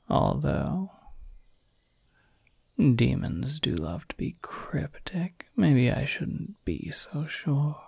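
A man's voice: mid-range voice, no echo, heavily acted. A man playing a suave, teasing incubus demon in a whispered ASMR roleplay, sly and intimate, close to the microphone. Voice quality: breathy, soft whisper.

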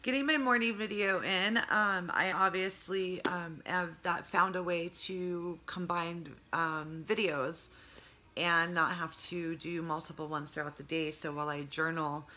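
Congested hoarse woman rambling at home. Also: fairly low pitch, steady tone, measured pace, sharp tone. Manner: rambling, many fillers, talks with her hands. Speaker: vlogger. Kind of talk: casual video diary. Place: home room with low sloped ceiling. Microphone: phone camera close up, earbud headset.

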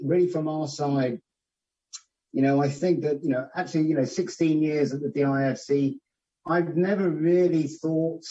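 Mellow chatty man on a distant call. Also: medium pitch. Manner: chatty, heavy on "you know" and restarts. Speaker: financial centre executive on a panel. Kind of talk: panel discussion answer. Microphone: webcam on a video call. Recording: dull, distant video call audio.

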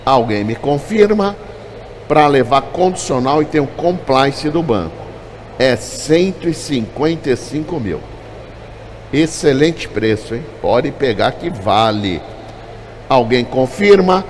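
A Brazilian man calls a vehicle auction, rattling off rising bids in a quick, repetitive chant. His voice sits at an ordinary middle pitch for a man. A faint hum of the hall runs beneath him. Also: animated. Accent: Brazilian Portuguese. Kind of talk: rapid vehicle auction calling.

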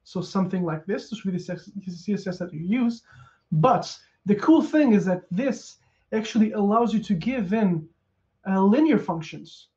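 A man's voice: sits high, normal speed, muffled recording. A man in his thirties from Portugal with a Portuguese accent, giving a casual technical conference talk over a headset, with a lot of ums and uhs. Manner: relaxed, lots of ums and uhs, restarts. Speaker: conference speaker. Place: small room at home.

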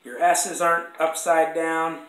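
A man with a cold-roughened, hoarse voice talks through his woodworking steps in a loose, self-correcting way. His tone is bright and forceful, a little higher than most men's. The sound bounces around an echoey shop room, picked up by a camera across the bench. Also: flat delivery, unhurried. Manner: rambling, self-correcting, apologetic asides. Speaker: woodworking instructor. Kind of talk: casual workshop demonstration. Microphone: fixed camera across the workbench.

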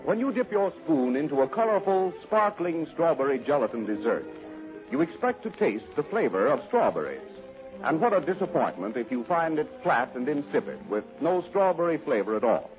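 A man's voice: a little high, big pitch swings, normal speed, dull audio, soft background music. A man announcing formally in the style of 1930s American radio, on an old broadcast recording.

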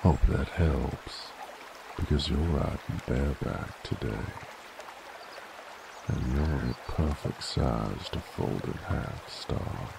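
A man's voice: slow, background noise, heavily acted. A man playing a dominant, teasing werewolf bounty hunter in a seductive, menacing roleplay ASMR, with a drawling, growling delivery. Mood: commanding, seductive. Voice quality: deep gravelly growl. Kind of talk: intimate roleplay ASMR, slow and breathy.

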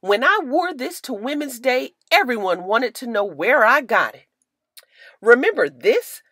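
A woman's voice: on the high side, big pitch swings, measured pace, a bit bright, dry recording, studio quality, pitched above the performer's own voice, full character voice. A woman voicing proud, sassy lady hat customers in a storybook, with an emphatic delivery. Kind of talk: storybook character voice.

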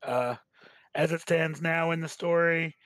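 A man hosting a staged reading, talking casually and haltingly. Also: fairly high pitch, fairly even intonation, fairly bright tone, no echo. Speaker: host of a staged game reading. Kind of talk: casual commentary and introductions.

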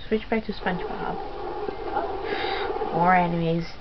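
A woman casually commenting while playing a video game, chatty and quick. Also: on the low side, steady tone, heavy background noise. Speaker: gamer. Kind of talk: let's play commentary. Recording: dull lo-fi game capture with music.

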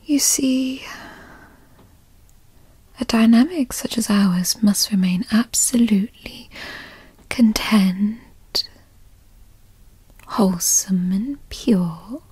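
A woman voicing a doting, possessive yandere girlfriend in a breathy whispered ASMR roleplay, coaxing and coyly controlling with formal wording. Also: mid-range voice, expressive, extremely slow, pauses often, dark tone, dry recording, exaggerated voice. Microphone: close microphone, dry sound.

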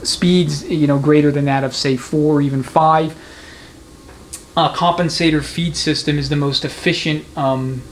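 Male mechanic, slightly high pitch, talks through a hands-on demo step by step, light shop noise behind. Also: steady tone, unhurried. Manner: conversational, methodical explaining. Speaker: transmission mechanic giving a demonstration. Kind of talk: hands-on workshop tutorial, unscripted explanation. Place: indoor transmission repair workshop. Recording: clear background noise of a workshop.

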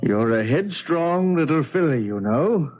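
An actor playing a gruff, commanding old colonial father in a radio drama, halting and blustering. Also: on the high side, lively intonation. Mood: awkward bluster. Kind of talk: 1970s radio drama acting. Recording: dull 1970s radio recording.

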